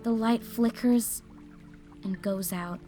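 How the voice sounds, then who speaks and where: An actress playing an exhausted young fire mage, narrating an audio drama in the first person in a dreamy, drifting way. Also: female voice, fairly high pitch, takes it slowly, mellow. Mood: dreamy, fading. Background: music.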